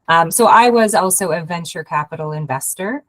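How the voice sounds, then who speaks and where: A woman from Canada, hoarse from a cold, giving a halting webinar talk over a video call with many fillers and restarts. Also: fairly low pitch, fairly bright tone. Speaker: guest speaker, investment program head. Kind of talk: webinar presentation.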